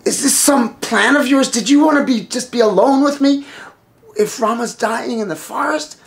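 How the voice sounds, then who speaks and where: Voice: moderate pace, lightly acted. A storyteller voicing a devoted young wife who pleads with insistence and urgency. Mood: accusing. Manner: urgent, high-pitched pleading.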